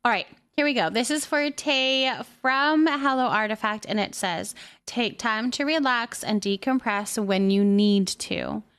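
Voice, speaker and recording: A woman's voice: on the high side, unhurried, fairly bright tone, smooth. A woman hosting a casual live stream, reading out and answering viewer messages in an affectionate, reassuring way. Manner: affectionate, addresses listeners with endearments.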